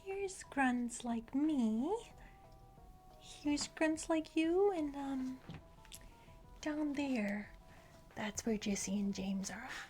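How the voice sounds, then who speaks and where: A woman plays a self-important female villain's grunt in an ASMR roleplay. She whispers breathily into a close mic at a slow pace, confiding and a little hesitant, with music underneath. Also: sits high, expressive, darkish tone, exaggerated voice. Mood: confiding, dismissive. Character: boastful, eager young female criminal henchwoman. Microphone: close microphone.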